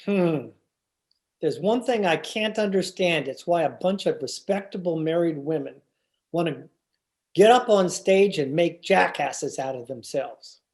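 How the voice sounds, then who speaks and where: A teasing, stubborn sitcom husband from old-time radio , played by a woman. The voice is soft-edged and fairly low for a woman, with very lively swings of pitch. The delivery is theatrical, wry and exasperated. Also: unhurried. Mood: skeptical, teasing. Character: George, teasing, stubborn husband of a 1940s housewife. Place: remote video-call production.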